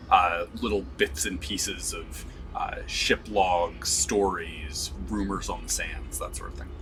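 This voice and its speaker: Slow, bright-voiced male game master. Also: deep voice, slight background noise, poor audio. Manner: slow, descriptive, many "um"s. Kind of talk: narrating a tabletop game.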